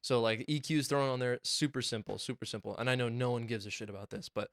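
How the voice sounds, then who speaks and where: A young man co-hosting a podcast, talking excitedly and swearing a lot as he rambles through stories about mixing music in his small home studio. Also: medium pitch, quick pace, no room sound. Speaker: podcast co-host and music producer. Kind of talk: casual chat.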